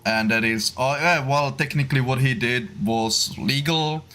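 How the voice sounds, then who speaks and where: Man, Czech accent, native Czech speaker, casual podcast panelist, medium pitch. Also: a little slow, bright, forceful tone. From Czech Republic.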